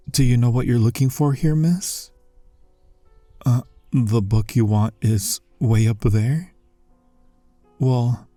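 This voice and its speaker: A man acts out a secret assassin undercover as a courteous bodyguard for an audio roleplay. His voice is soft, dark and mellow, sitting fairly low. He speaks with dry, restrained exasperation beneath his good manners. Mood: polite, hesitant. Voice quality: soft, gentle voice. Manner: restrained, dry, inner monologue and courteous address. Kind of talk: ASMR roleplay audio acting.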